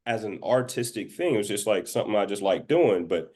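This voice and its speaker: A man's voice: on the low side, animated, a little fast, dark tone. A man from the rural southern United States, talking informally as an interview guest and telling stories in a casual, conversational way. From southern United States, rural Georgia and Florida Panhandle.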